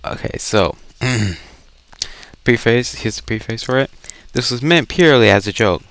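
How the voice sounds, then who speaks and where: A young man chatting casually over a game and reading fan fiction aloud, halting and stumbling over words now and then. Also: medium pitch, animated, a bit bright.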